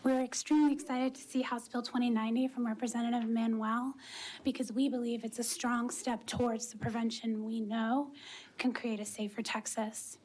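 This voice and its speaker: A woman with a soft voice testifying before a legislative committee as a policy director, speaking gently in a formal manner. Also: on the high side, flat delivery, normal speed, poor audio. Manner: breathy, gentle, near-whispered. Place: committee hearing room.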